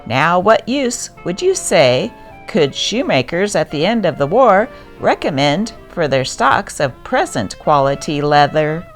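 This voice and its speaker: A woman reads old newspaper stories aloud as a narrator. Her voice sits a little low and moves up and down with lively intonation, with music playing behind her. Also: measured pace. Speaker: host reading old newspaper articles. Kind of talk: scripted narration, reading news aloud.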